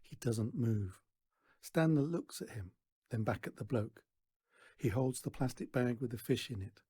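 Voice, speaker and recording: A man reading a children's story aloud in an expressive narrating style. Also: mid-range voice, measured pace, breathy edge, dry recording, clean studio sound. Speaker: storybook reader.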